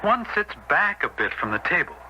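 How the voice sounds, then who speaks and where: An actor playing an earnest, moralizing brother in a radio drama, speaking in a righteous, deliberate way. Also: male voice, high voice, sing-song. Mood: righteously exposing. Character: earnest, sanctimonious, moralizing brother, a decent man. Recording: slightly noisy old radio recording.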